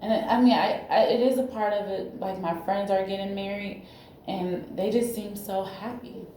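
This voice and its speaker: A woman's voice: medium pitch, warm tone, slight background noise. Anxious woman in an echoey room. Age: 30s. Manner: halting, anxious, searching for words. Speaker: counseling client in a role-play. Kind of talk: emotional personal disclosure.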